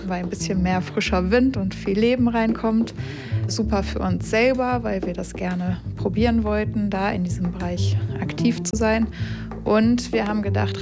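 Brief: female sports volunteer, mid pitch, lively and friendly. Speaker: rugby project co-founder. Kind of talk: interview storytelling.

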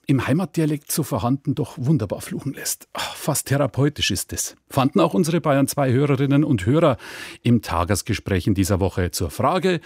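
A man with a Bavarian accent presenting a radio show with lively, chatty storytelling. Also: mid-range voice, vocal fry, slightly rough, no echo, clean studio sound. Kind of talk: radio moderation.

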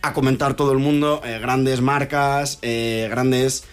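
A man co-hosting a show, telling stories in a chatty, animated manner. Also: medium pitch, unhurried, clean tone. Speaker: radio show co-host. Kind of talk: casual chat.

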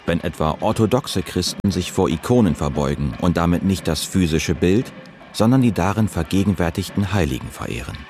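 Calm male narrator, very low pitch, documentary read over music. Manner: calm, measured reading.

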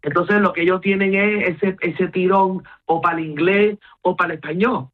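A woman's voice: fairly low pitch, normal speed, a little hiss or hum. Gibraltarian woman on a tinny line. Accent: Gibraltarian Llanito. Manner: switches between Spanish and English mid-sentence, lively and talkative. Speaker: interviewee. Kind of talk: casual conversational interview.

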